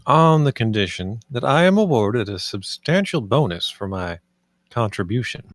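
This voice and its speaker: An actor at a film table read playing a gruff, mocking spaceship assessor with a sardonic, dismissive manner. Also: male voice, medium pitch, very animated, normal speed, dry recording, clean studio sound, natural voice. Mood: hard-nosed.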